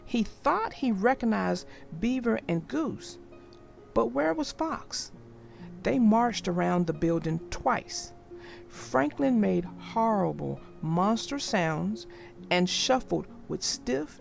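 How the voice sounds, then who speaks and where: A woman reading a children's storybook aloud, gentle and expressive. Her pace is unhurried, her pitch moves a lot, and her voice carries a slight creak. Faint music plays underneath. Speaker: storybook narrator.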